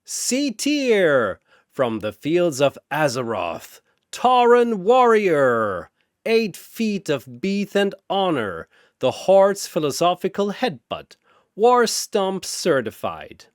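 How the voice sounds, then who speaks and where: A man voicing an ancient, gleeful cosmic arena master in a storytelling piece, with grandiose announcing and drawn-out names. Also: high voice, wildly swooping pitch, no room sound, clean studio sound, pitched above the performer's own voice, full character voice. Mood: bombastic hype.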